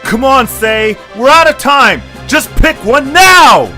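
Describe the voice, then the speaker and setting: A man playing an excitable, trusting male creature, the heroine's best friend, in an amateur audio drama. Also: very high voice, takes it slowly, faint music behind, heavily acted. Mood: panicked. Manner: excitable, urgent.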